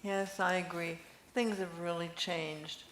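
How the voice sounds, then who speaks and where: A woman's voice: on the low side, fairly even intonation, slow, fairly bright tone. An older woman reading the part of a chatty, curious friend in an amateur play. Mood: reflective. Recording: echoey room with faint noise.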